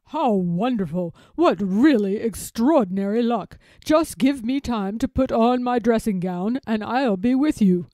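A woman's voice: mid-range voice, animated, a little slow, dark tone, no echo, close to an everyday voice. A woman voicing a courteous, earnest storybook elephant, speaking with emphatic, wide-eyed hopefulness. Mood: excited. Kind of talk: children's storybook character voice.

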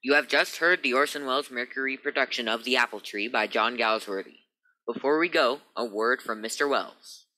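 A man playing an upbeat, polished 1940s radio announcer with a crisp, emphatic sales manner. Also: medium pitch, moderate pace, pushed and bright, rough recording. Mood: formal.

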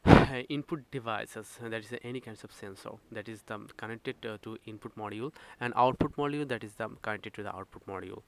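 A man who is a non-native English speaker gives a slide lecture as an instructor, halting and repeating himself as he explains step by step. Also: on the low side. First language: not English. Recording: noisy home-made screen recording.

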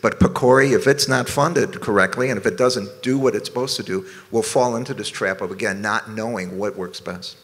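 A man on a conference panel, explaining in a measured way. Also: fairly low pitch, fairly bright tone, long reverb tail. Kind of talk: panel discussion. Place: conference ballroom stage.

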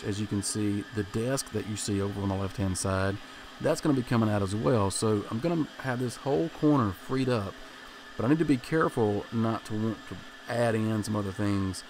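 A man in his thirties hosts a woodworking vlog, narrating in an easy, unhurried way with plenty of uhs and restarts. His voice sits a bit low. A faint hiss runs under the voice-over. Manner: relaxed, thinking aloud with frequent "uh" and restarts. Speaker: woodworking channel host. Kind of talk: casual voice-over explaining a project.

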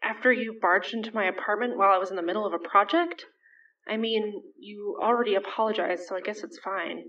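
A woman's voice: medium pitch, brisk, fairly bright tone, no room sound. An actress playing an emotionally open, defensive young woman neighbor in an audio drama, in a conversational style. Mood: annoyed, casual. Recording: dry, slightly dull close recording.

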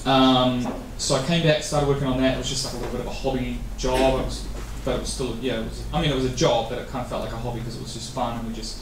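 A man's voice: medium pitch, flat delivery, fast, few pauses. Casual male guest speaker in his thirties, rambling answers, distant mic in a noisy, reverberant venue. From New Zealand. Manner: rambling with many fillers and restarts. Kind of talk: casual interview answers at a meetup. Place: event venue. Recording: echoey room from far off.

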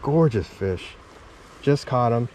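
A man filming himself fishing on a river bank with a chest-mounted camera, excitedly calling out to viewers as he fights and lands fish. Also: mid-range voice, takes it slowly, a little hiss or hum, rough recording. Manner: exclaims often, talks to viewers. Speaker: fishing vlogger. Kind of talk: excited casual commentary while fishing. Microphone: chest or head mounted action camera.

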